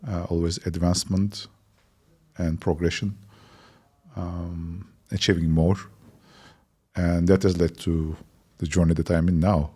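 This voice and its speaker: A man of about fifty from Turkey with a Turkish accent, answering interview questions reflectively with halting phrasing. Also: deep voice, flat delivery, dark tone, breathy edge. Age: around 50. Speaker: interview guest, technology entrepreneur.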